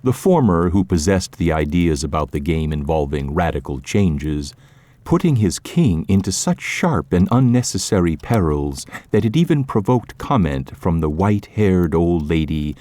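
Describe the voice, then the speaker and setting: Deep-voiced man narrating, close and dry. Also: very animated, moderate pace, mellow, no room sound. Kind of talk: scripted literary story narration.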